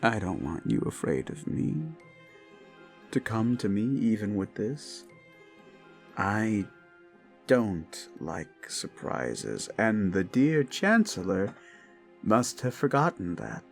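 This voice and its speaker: A man voicing a deep, gravelly yandere prince. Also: big pitch swings, slow pace, soft background music, heavily acted. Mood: menacing. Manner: soft-spoken menace, sudden unsettling laughter, whispered asides. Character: unhinged paranoid possessive young prince, menacing. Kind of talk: audio roleplay drama.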